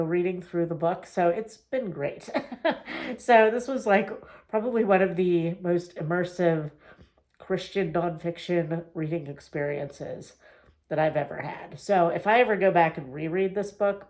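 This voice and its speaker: A woman vlogging a casual reading wrap-up, chatty and rambling with fillers and small laughs, her voice getting a little raspy. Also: lowish voice, a little mellow. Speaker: book vlogger.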